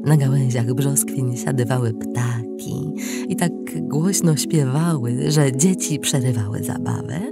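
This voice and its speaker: Woman narrator, deep register, dramatic storytelling, slow and deliberate, clear music underneath. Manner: deliberate, expressive, with pauses and hushed endings.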